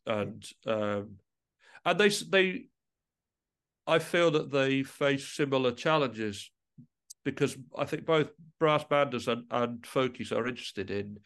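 A man's voice: medium pitch, expressive, measured pace, a bit bright. Thoughtful man in conversation. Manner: thoughtful, hesitant phrasing with repeated restarts. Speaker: interview guest, musician and composer. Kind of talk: conversational podcast interview.

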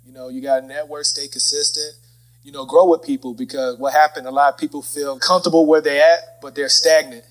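A man with a slightly high voice hosts a relaxed, conversational interview. He speaks informally, like someone swapping encouraging advice with a guest. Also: a little fast, rough recording. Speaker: podcast host interviewing a guest. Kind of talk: casual phone interview.

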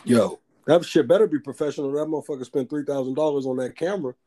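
Deep voiced rapper dude talking loose and slangy, cussing a lot, warm dark tone. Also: male voice, fast. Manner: loose slangy talk, swears freely. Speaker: rapper guest. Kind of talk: casual interview chat.

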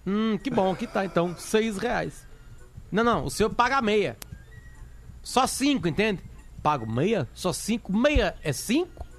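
A man's voice: high voice, big pitch swings, measured pace, fairly bright tone, slight background noise. A man telling a joke casually on a live stream, acting out both sides of the dialogue.

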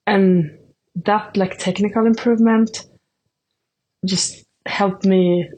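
Young Swedish woman, halting casual answers. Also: mid-range voice, fairly even intonation, a little mellow. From Sweden. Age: 20s. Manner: hesitant, many fillers like "like" and "I don't know". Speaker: athlete guest.

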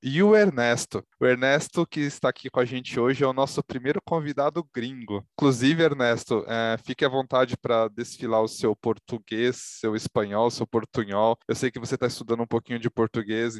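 A man hosting a podcast interview, his voice sitting at an ordinary middle pitch. He talks casually and chattily, leaning on little fillers as he introduces guests and asks questions. The sound is close and dry, with no room echo. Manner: chatty and friendly, with frequent fillers. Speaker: podcast host.

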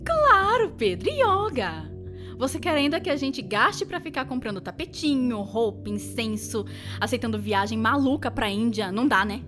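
A sceptical grumbler of an inner voice, acted by a woman, Brazilian and world-weary. The pitch runs fairly high and swings widely, with music clearly behind this audio drama performance. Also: very animated, moderate pace. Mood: sarcastic.

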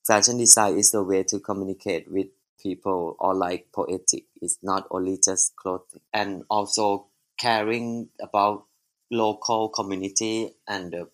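A man from Thailand, a fashion designer whose first language is Thai, answering an interview in thoughtful, halting phrases. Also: lowish voice.

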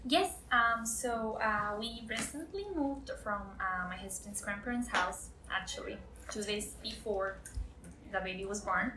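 A woman's voice: mid-range voice, a little slow. Young woman, roomy distant sound. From Costa Rica. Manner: excited, chatty. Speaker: interview guest, first-time mother. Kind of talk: casual personal storytelling. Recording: roomy, distant mic, faint noise.

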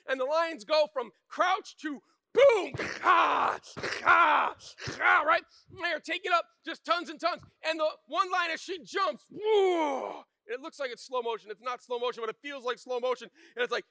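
Male pastor, storytelling sermon, high bright voice, dry close sound. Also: normal speed, gravelly, no room sound. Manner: animated and shouting in the story, then quiet and earnest. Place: church.

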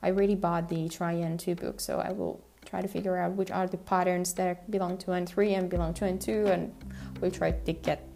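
A young woman talking casually about her language study goals, relaxed and chatty with frequent laughter and fillers. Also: lowish voice, brisk, gravelly, faint music behind. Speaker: language learning podcaster.

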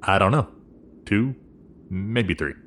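A man's voice: lowish voice, expressive, slow, a bit bright, heavily acted. A man playing an easygoing middle-aged professor father in an audio drama, speaking in a casual, understated way. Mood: tired, easygoing. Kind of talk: conversational audio drama acting.

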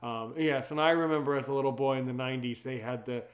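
A man in his thirties whose first language is French, talking in an earnest, reflective way in a relaxed conversation, with a deep voice. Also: fast talker. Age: 30s. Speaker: conversation partner. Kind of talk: casual chat.

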